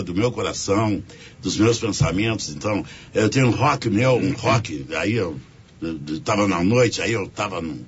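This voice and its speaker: A man, a singer-songwriter guest on a radio show, talking in a halting, rambling way. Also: deep voice, dull audio, a little hiss or hum. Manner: halting, rambling, stumbles over words. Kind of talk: radio interview chat. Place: radio studio.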